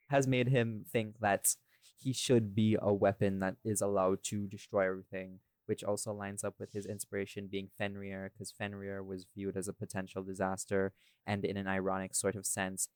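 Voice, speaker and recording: A man's voice: fairly low pitch, level tone, normal speed, clear, resonant tone, no room sound. A man hosting a casual podcast discussion, rambling and thinking aloud as he goes. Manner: rambling, thinking aloud, often checks with "right?". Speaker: podcast host and audio series creator.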